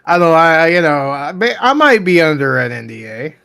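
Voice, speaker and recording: A man with a fairly high voice and a lot of vocal fry chats casually as a podcast co-host. The talk is loose and informal. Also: very animated, brisk, pushed and bright. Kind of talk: casual group chat.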